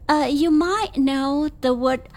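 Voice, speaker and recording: A woman's voice: high voice, slow pace, a little mellow. A woman whose first language is Javanese, an English lecturer speaking with a Javanese Indonesian accent, explaining things patiently as a radio guest.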